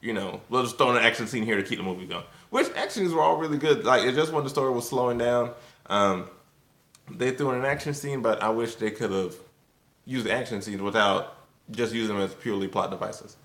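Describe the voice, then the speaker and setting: A man's voice: medium pitch, fairly quick, fairly bright tone. A man talking casually and a bit loosely to viewers as he reviews, in an informal conversational way. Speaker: video reviewer. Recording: roomy, echoing sound.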